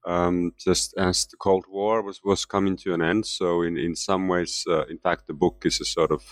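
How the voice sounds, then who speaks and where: Deep-voiced Finnish-born professor, hesitant. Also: male voice, level tone, normal speed, no room sound. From Finland. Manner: hesitant, many restarts and fillers. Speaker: guest author, history professor. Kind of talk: interview answers.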